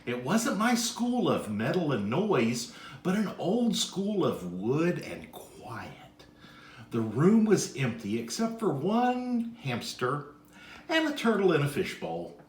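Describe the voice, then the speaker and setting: A man reading a children's story aloud as narrator in an expressive style. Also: a little high, slow pace. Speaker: storybook reader. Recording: echoey room sound.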